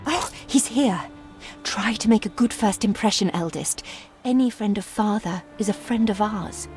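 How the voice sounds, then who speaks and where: An actress playing a spirited, loyal young aristocratic daughter in a video game, earnest and emphatic. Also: female voice, medium pitch, normal speed, a little mellow, faint music behind, close to an everyday voice. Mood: welcoming.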